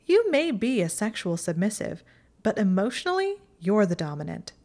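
A woman's voice: mid-range voice, sing-song, normal speed, darkish tone, voice pushed up. A woman voicing a haughty, mocking young witch who talks down to others in a condescending tone. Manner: condescending, sneering.

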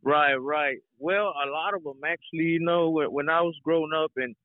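A man's voice: medium pitch, dry recording. A young man from Mexico who grew up in Texas, chatting casually as an interview guest and often saying "you know". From Mexico, raised in Houston, Texas. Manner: peppers talk with "you know". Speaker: guest, rapper and reggaeton singer. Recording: narrow, tinny phone line.

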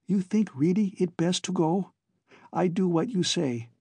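A man's voice: high-pitched, animated, a little slow, mellow, breathy edge, voice pushed up, exaggerated voice. A man voicing a young woman who speaks swiftly and vehemently in slightly broken English. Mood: urgent.

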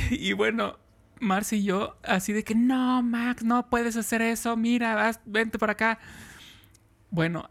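A man's voice: high-pitched, fairly bright tone. A Mexican man hosting a podcast, chatting casually and wrapping up with friendly thanks to listeners. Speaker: podcast host. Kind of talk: casual chat.

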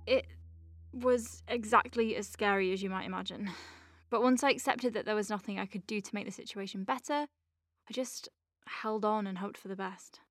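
A woman playing an earnest, gently wry space-clinic doctor in an audio drama, conversational, self-deprecating and a little hesitant. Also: medium pitch, moderate pace, lightly acted. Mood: wry, relieved.

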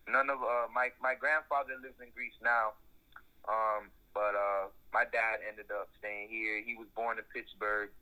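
A man's voice: lowish voice, little pitch movement, unhurried, sharp tone, poor audio. A young man from South Florida, a music executive, chatting casually in an interview and rambling with lots of fillers. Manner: laid-back, rambling with many fillers.

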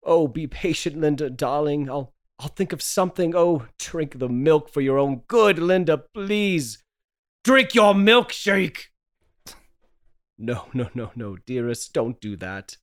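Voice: mid-range voice, animated, slow. A tormented husband from a radio horror play, played by an actor, with a mid-range voice. The delivery is melodramatic and pleading, that of someone guarding a terrible secret. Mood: anxious pleading. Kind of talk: old-time radio drama table read.

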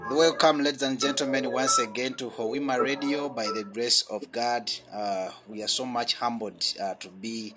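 A man's voice: mid-range voice, pushed and bright. A man hosting a faith radio chat show, earnest and emphatic, praying and welcoming listeners. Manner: earnest, slow and emphatic, with swells in volume. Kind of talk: preaching and prayer, then hosting a chat.

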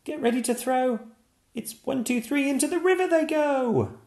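A man's voice: very high-pitched, moderate pace, roomy sound, voice pushed up. A man voicing a cheerful young girl leading a game in a storybook. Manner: bright, bossy game-leading.

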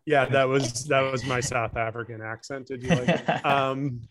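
A man's voice: medium pitch, fairly even intonation, moderate pace, heavy background noise. A man co-hosting a sports podcast in easy, unscripted chat. He thinks aloud, often restarting sentences and leaning on fillers as he works through a point. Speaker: podcast co-host.

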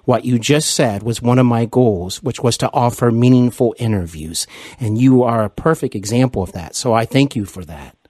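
A man's voice: medium pitch, moderate pace, a little mellow, breathy edge, no echo, clean studio sound. A man hosting a conversational interview, speaking in an effusive, complimentary way. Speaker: podcast host and interviewer.

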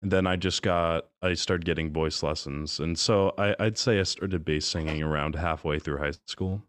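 A young man with a very low, deep speaking voice, talking loosely with lots of fillers. The sound is dry and close, with no room around it. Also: normal speed, dry recording. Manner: relaxed, rambling with fillers. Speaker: bass singer in a group. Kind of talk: casual group chat.

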